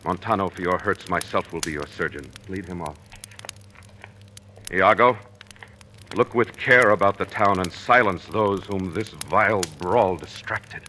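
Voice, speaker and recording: A stern, proud general in vintage radio Shakespeare. His voice is low and forceful, with a commanding, declamatory manner. Faint noise runs under the old recording. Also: measured pace, rough recording. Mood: grave, sorrowful. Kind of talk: 1940s radio acting of Shakespeare.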